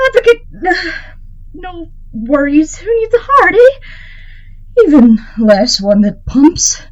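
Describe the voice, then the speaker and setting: A woman hosting an audio roleplay in a theatrical, in-character way, cheeky, sweary and expressive. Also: very high-pitched, slow, highs cut off. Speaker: voice actor and channel host.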